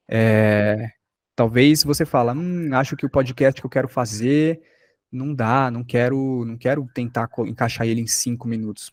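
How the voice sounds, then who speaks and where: A man's voice: mid-range voice, darkish tone, no room sound, clean studio sound. A Brazilian man giving an informal lesson as a workshop instructor, explaining by example with rhetorical questions and check-ins.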